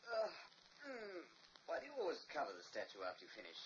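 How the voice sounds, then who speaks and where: Tormented married man, stammering protests, lively pitch, dull hissy old radio recording. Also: fast. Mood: defensive. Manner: flustered, stammering, voice rising in protest. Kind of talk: old-time radio drama.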